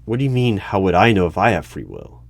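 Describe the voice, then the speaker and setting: A man playing a methodical, puzzled hiring manager in a one-sided interview roleplay, halting as he asks careful clarifying questions. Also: low voice, huge pitch swings, vocal fry, a little hiss or hum, exaggerated voice. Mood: careful, confused. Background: computer keyboard typing and clicks.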